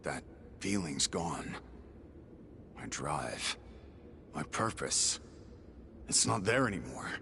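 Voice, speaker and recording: A brooding, driven warrior from a video game cutscene. His voice is extremely deep and gravelly, with slow, terse, dramatic delivery. There is clear noise behind him. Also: very animated, bright, forceful tone, full character voice. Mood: numb, brooding. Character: brooding, driven adult male warrior hunting chaos.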